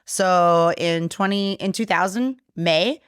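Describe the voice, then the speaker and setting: Lively storytelling woman, dry close sound. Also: lowish voice, slow pace, clean tone, dry recording. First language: Polish. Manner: animated storyteller, acts out quotes, swears casually. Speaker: guest, brewery co-owner. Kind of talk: casual bar podcast chat.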